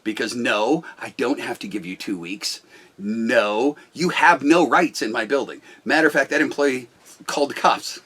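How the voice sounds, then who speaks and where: A middle-aged man with a loud voice, a business owner talking bluntly and forcefully about management in casual talk. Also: mid-range voice, normal speed, slightly rough.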